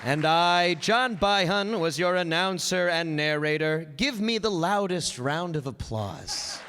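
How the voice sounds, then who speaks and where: Male live-show announcer and narrator. High voice, reverberant hall, faint background noise. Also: animated, measured pace, pushed and bright. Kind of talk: live stage announcing to audience.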